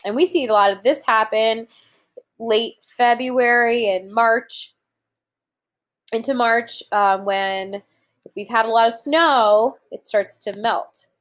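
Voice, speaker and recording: A woman in her thirties reading aloud to young children as a teacher, pausing to explain things simply and deliberately. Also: a little high, steady tone. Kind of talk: children's read-aloud lesson with explanatory asides. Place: room at home, indoors.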